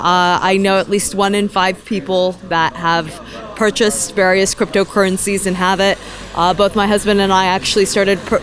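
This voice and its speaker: A woman's voice: mid-range voice, slight background noise. A young woman answering a street interview outdoors at night, composed and articulate, into a handheld mic. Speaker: passerby. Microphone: handheld mic held by interviewer.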